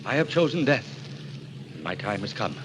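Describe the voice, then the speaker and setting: A solemn, fatherly old gentleman , acted by a man resigned to his fate. He speaks in theatrical vintage radio style, at a medium pitch, over clear noise on an old recording. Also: big pitch swings, moderate pace, lightly acted. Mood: weary resignation. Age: older. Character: weary, resigned elderly gentleman welcoming death. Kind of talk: 1940s radio mystery acting.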